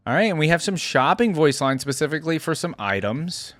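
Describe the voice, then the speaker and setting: A man's voice: on the high side, expressive, a little fast, fairly bright tone, no echo, clean studio sound. A man hosting a casual reaction to game voice lines, with an amused, easygoing manner. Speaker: host of a game lore reaction channel.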